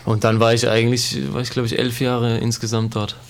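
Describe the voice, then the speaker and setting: A man's voice: medium pitch, level tone, a little fast. A man in his twenties, raised in Germany, chatting casually about his background as a guest footballer in an interview, with many fillers. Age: 20s.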